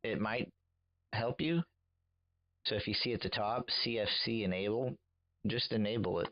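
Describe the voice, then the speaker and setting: A man's voice: fairly low pitch, normal speed, no room sound, lo-fi sound. A man giving casual, patient, step-by-step technical coaching to another radio operator.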